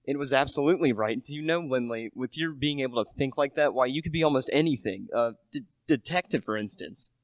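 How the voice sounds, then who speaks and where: A man's voice: medium pitch, fairly quick, hardly any pauses, no echo, natural voice. A teenage male actor playing an earnest, humble British salesman in a radio play, chatty and a little hesitant. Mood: puzzled, admiring. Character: earnest, humble British relish salesman narrating a murder mystery.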